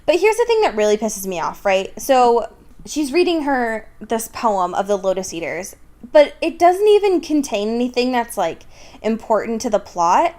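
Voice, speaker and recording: A woman's voice: fairly high pitch, very animated, moderate pace, darkish tone. A young woman co-hosting a casual, comedic book podcast, sweary and exasperated, often doing mocking quotes. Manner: exasperated, sweary, mock-quoting. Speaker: podcast co-host. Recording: clear background noise.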